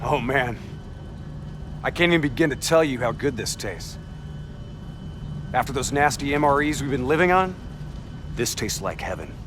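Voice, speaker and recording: An actor playing a hardened, matter-of-fact squad soldier in a cinematic video game. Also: male voice, medium pitch, animated, moderate pace, pauses often, lightly acted. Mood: relishing. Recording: clear outdoor ambience noise behind.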